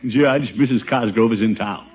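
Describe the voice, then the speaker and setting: An actor playing a blustering, easily flustered middle-aged bachelor with an indignant, pompous manner in a 1950s radio comedy. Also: male voice, lowish voice, normal speed, husky. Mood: exasperated. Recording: muffled, hissy 1950s radio recording.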